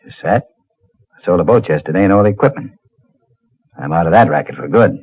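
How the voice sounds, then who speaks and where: An actor playing a troubled, guilt-haunted deep-sea diver in old-time radio drama, halting and brooding. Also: male voice, animated, husky. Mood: firm. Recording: muffled, noisy old radio recording.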